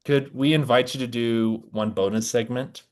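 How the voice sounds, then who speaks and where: A man's voice: medium pitch, fairly even intonation, normal speed, darkish tone. A man hosting a podcast with casual chat and improv, informal and playful in manner. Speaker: podcast host.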